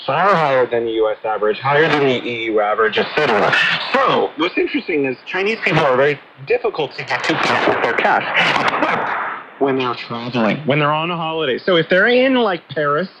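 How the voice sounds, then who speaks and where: Animated man through noisy shortwave radio. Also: fairly high pitch, fairly quick. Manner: animated, explanatory, storytelling. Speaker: talk show host. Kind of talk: conversational radio discussion. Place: radio broadcast picked up on shortwave receiver.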